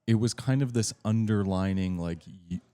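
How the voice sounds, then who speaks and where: A man in his thirties with a naturally deep voice, co-hosting a casual podcast and thinking back aloud with lots of fillers. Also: moderate pace, warm tone, smooth, no echo. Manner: loose, reflective, filler-heavy talk. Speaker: podcast co-host. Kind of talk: casual chat.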